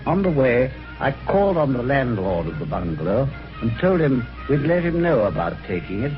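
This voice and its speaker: A man's voice: medium pitch, very animated, moderate pace, natural voice. An actor playing a well-spoken British amateur sleuth, telling his story conversationally in a radio mystery. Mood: measured storytelling. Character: genteel British amateur sleuth recounting his eerie adventure. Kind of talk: 1950s radio mystery acting, first-person narration. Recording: dull old radio recording, music behind.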